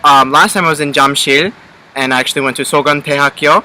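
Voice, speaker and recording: Teen guy from connecticut, bright voice, rambly with lots of ums, bit of hiss behind. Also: fairly high pitch, fast talker, low-quality recording. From Connecticut, USA. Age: teens. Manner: relaxed, rambling with many ums and restarts. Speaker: exchange student vlogger. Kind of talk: casual chat.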